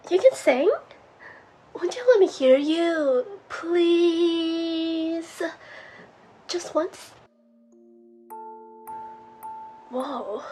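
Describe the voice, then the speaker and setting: High female voice, woman acting a wistful serpent lady, formal, gentle, possessive, theatrical monologue, music behind. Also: heavily acted. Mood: excited. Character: serpent woman, sweetly polite, wistful, possessive former bartender. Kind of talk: scripted character monologue to the listener.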